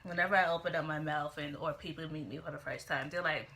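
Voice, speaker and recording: A young woman chats loosely to her camera, drifting from thought to thought. Her voice sits a little low but sounds bright and forward. A faint hiss of room noise stays in the background. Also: fairly even intonation, quick pace. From Chicago, USA. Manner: rambling and chatty, full of "you know" and "like". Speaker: vlogger. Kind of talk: casual chat to camera.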